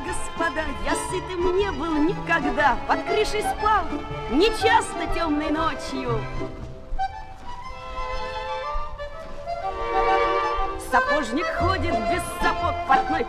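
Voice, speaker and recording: A cocky young Paris urchin in an old radio play. The voice is high and swoops boldly, full of boyish swagger and bravery. Loud music plays behind it. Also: female voice, full character voice. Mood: defiant singsong. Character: cocky, brave Parisian street boy, ragged and generous. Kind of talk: Soviet children's radio play acting. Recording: 1970s flexi-disc, loud music behind.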